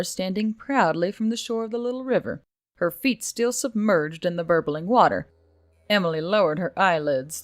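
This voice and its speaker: A woman's voice: lowish voice, no echo. A woman reading scripted fantasy fiction as an audiobook narrator.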